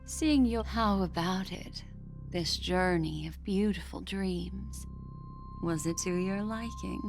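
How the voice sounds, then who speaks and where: Slow, enigmatic, alluring mystic. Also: female voice, fairly low pitch, vocal fry. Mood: teasing. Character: enigmatic, alluring, composed fortune-teller woman. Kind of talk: scripted game dialogue. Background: game music.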